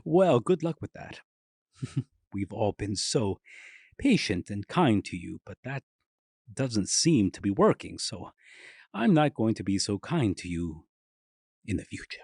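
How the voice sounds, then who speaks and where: Man acting husky, menacing man. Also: on the low side, very animated, darkish tone, no echo, clean studio sound, exaggerated voice. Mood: mocking threat. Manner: deliberate, condescending, sinister. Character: menacing, controlling man, coldly threatening. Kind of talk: audio drama acting.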